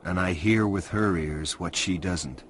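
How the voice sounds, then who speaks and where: A man's voice: low voice, measured pace, slight background noise. A man playing a brooding hacker who gives dramatic scripted narration in a radio drama. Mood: brooding, intent.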